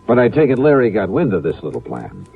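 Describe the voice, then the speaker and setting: A man's voice: lowish voice, wildly swooping pitch, fast talker, creaky, breathy edge, full character voice. A man playing a tough, wry investigator in a vintage radio detective drama, clipped and sardonic. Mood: wry, probing. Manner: clipped, sardonic, hard-boiled. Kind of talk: 1950s radio detective acting. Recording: tinny old radio recording.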